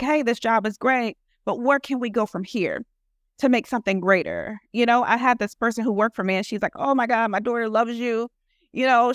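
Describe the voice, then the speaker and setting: A woman's voice: on the high side, no room sound, studio quality. A woman guest in a casual interview who talks earnestly about her work, rambling and filling her speech with "you know". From United States. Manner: earnest, rambling with many fillers. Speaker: guest entrepreneur.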